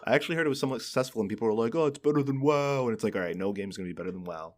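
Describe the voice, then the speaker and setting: A man's voice: medium pitch, racing. A man co-hosting a podcast, chatting casually about games and often repeating words as he works out his opinions. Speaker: podcast co-host.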